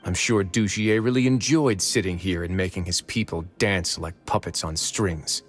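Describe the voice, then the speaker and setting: An actor playing a calm, authoritative nobleman in a video game, measured and dryly commanding. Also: sits low, music in the background, natural voice. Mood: composed, dry. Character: composed, authoritative male prison duke, calm and dry.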